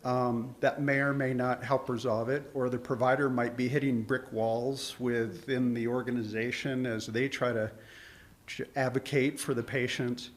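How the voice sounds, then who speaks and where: A man's voice: medium pitch, little pitch movement, moderate pace. A man giving rambling public comment at a community town hall, with frequent restarts and fillers.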